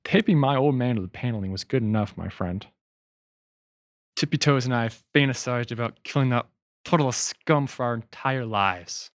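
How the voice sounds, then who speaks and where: A man's voice: medium pitch, normal speed, dry recording, clean studio sound, close to an everyday voice. A man playing a devoted, murderous teenage boy, tender and vengeful, in audiobook dialogue. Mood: friendly, bitter.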